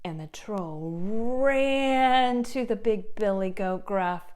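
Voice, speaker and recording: A woman's voice: mid-range voice, takes it slowly, darkish tone, smooth. A woman narrating a children's puppet show as a storyteller.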